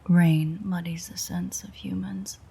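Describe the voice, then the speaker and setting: A woman playing a sardonic, teasing, world-weary female vampire in an intimate audio roleplay, with a breathy, husky, whispery voice and a dry manner. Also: on the low side, fairly even intonation, unhurried, dark tone, exaggerated voice. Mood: wry, reminiscing.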